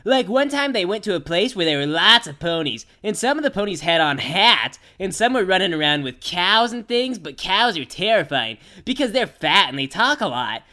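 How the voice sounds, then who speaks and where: A woman plays a brash young girl pony reading out a cartoon storybook. The voice is bright and forceful, swinging widely in pitch. The telling is childishly simple, with irreverent, slangy asides. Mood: childlike enthusiasm. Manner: childishly simple phrasing mixed with casual, sardonic slang.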